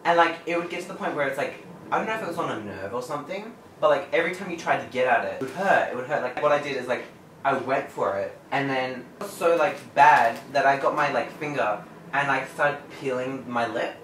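A man's voice: medium pitch, lively intonation, brisk, fairly bright tone. A young man chatting casually in a bedroom vlog, rambling with lots of "like". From Australia. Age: young adult. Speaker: vlogger. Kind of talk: casual chat. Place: small bedroom at night. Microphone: far camera. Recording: roomy, distant, noisy camera audio.

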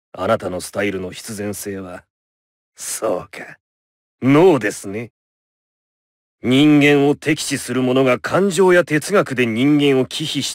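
A man's voice: no room sound, studio quality. An actor playing an eccentric, courteous doctor in a video game, philosophical and theatrical in his delivery. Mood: pensive. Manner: courteous, measured, theatrical, swinging from grave murmurs to high exclamations. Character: eccentric male doctor with a paper-bag head, polite and philosophical. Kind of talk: video game victory lines, theatrical Japanese voice acting.

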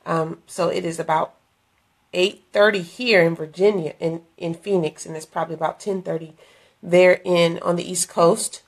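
A middle-aged woman, hoarse from a cold, chatting earnestly into a phone at arm's length in a dim room, inviting viewers to an event. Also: lowish voice, fairly even intonation, normal speed. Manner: chatty, earnest, with many fillers and restarts. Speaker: ministry leader promoting an event. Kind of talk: casual live-stream invitation. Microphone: phone held at arm's length.

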